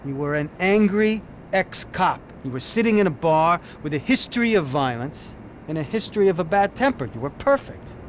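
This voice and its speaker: A sly, cynical police detective, played by a man talks in a sardonic, lecturing way. His voice sits a bit high. Loud street traffic runs behind him in this game cutscene. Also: expressive, normal speed, lightly acted. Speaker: police officer.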